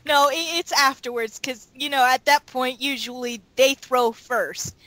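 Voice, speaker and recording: High female voice, bright, casual interview chat, animated, emphatic, close dry sound. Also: measured pace, no echo, poor audio. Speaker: audio drama cast member.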